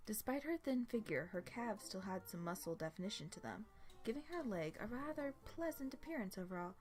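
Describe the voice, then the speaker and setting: A woman's voice: mid-range voice, expressive, brisk, darkish tone. A woman reading a scripted story aloud as its narrator. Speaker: fan fiction narrator. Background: background music.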